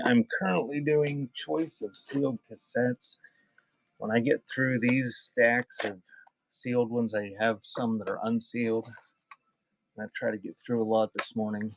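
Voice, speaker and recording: A man's voice: medium pitch, gravelly, slight background noise, poor audio. A man hosting a casual live sale, chatting off the cuff with viewers and reading out items. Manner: off-the-cuff, addresses chat viewers by name. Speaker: live-stream auction seller of vintage music.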